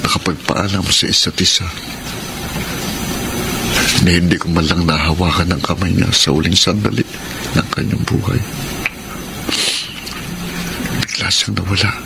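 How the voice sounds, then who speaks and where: Low-voiced earnest young father, played by a man. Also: fairly even intonation, normal speed, creaky, pauses often, heavy background noise. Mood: grieving. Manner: heartfelt, subdued. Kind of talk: Filipino radio drama acting.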